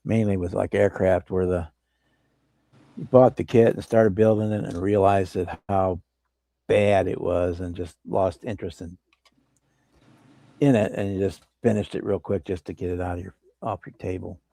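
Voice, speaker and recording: A man's voice: low-pitched, moderate pace, vocal fry, no echo. A man hosting a model-building livestream, chatting casually and explaining his work as he builds. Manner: relaxed, rambling explanations while working. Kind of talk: casual workbench chat.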